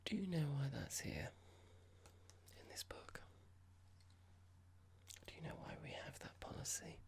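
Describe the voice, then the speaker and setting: An ASMR performer playing a cold, condescending boss in a roleplay, speaking softly in a measured, formal, halting and passive-aggressive way. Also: medium pitch, big pitch swings. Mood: stern, condescending. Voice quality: breathy, creaky whisper. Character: cold condescending boss about to fire an employee. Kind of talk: ASMR roleplay, whispered and soft-spoken.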